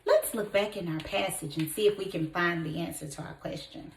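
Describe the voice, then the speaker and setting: A woman teacher reading a story aloud to young children, expressive and encouraging, putting questions to her listeners. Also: fairly low pitch, brisk, creaky, a little hiss or hum. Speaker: kindergarten teacher. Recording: echoey room.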